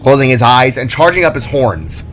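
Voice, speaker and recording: A man's voice: medium pitch, animated, normal speed. A man giving a dramatic scripted narration of a fantasy story, as its narrator. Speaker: author and narrator. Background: soft music.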